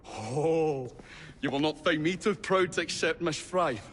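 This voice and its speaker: A man's voice: a little high, a little slow, a bit bright, in a large hall, a little hiss or hum. A man voicing a flustered, fussy, polite Victorian inventor who stammers in a game cutscene. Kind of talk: video game cutscene acting.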